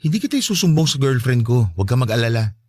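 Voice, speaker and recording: A man plays a gentle, effeminate stepfather in an audio drama. He talks fast with a very mobile pitch, meek on the surface but quietly defiant, recorded close and dry. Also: animated, slightly rough, no echo. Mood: guarded, firm. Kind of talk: Tagalog audio drama dialogue.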